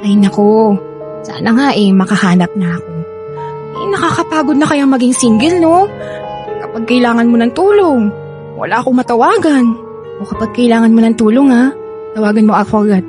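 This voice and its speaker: A woman's voice: fairly high pitch, expressive, fairly quick, long pauses, music in the background, natural voice. A woman playing a sassy, picky single best friend in a radio drama, slangy and dramatic, with a Filipino accent. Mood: wry, longing.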